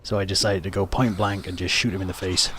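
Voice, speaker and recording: A man's voice: low voice, little pitch movement, fast talker, bright, forceful tone. A man giving recap commentary over a video game as host of the video.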